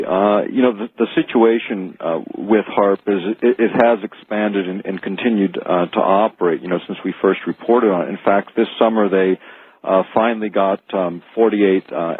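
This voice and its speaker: An American man giving an informal expert explanation as a radio interview guest, halting and repeating words as he goes. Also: low voice, breathy edge. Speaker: interview guest, researcher and author. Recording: narrow, dry phone-like radio sound.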